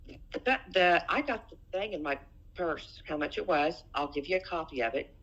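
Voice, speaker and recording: A woman's voice: low-pitched, lively intonation, moderate pace, a bit bright, muffled recording. A middle-aged woman in a personal phone argument, speaking insistently and repeating her phrases. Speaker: private caller in a family dispute.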